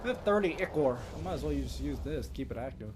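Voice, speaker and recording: A man's voice: mid-range voice, animated, quick pace, background noise. A man livestreaming a video game, giving casual commentary and thinking aloud as he plays. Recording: loud game music behind the voice. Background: game music.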